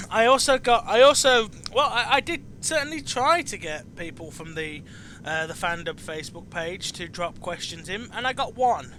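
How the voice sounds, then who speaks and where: A man in his twenties with a British accent, hosting a casual online chat in a halting way, often repeating words. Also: high voice, expressive, sharp tone, a little hiss or hum, poor audio. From United Kingdom. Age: 20s. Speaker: podcast host.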